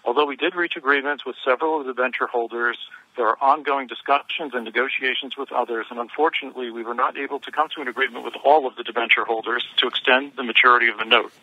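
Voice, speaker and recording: A man reading a formal, measured prepared statement as a company chief executive on a conference call. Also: mid-range voice, steady tone. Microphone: telephone. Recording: dull telephone conference line.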